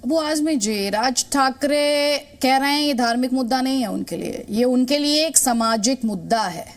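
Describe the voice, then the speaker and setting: An Indian woman with a distinctly high-pitched voice works as a TV news anchor. She interviews confrontationally, pressing assertively and repeating her questions and points. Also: normal speed.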